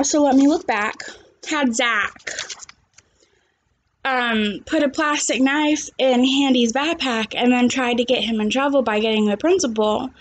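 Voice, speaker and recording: A young adult woman, a teacher, reading a story aloud and chatting to children, recorded at home on a computer's microphone. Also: sits high. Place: small living room at home, indoors.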